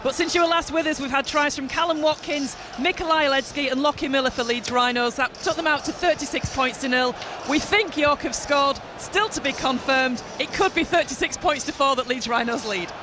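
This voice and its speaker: A woman's voice: high voice, fairly quick, hardly any pauses. A woman reporting live score updates from a sports ground, with outdoor ambience behind her. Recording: clear ambient noise behind.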